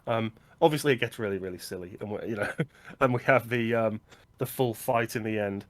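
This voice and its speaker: A man's voice: on the low side, fairly quick. Chatty man co-hosting a film podcast. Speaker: podcast co-host. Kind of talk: casual film discussion.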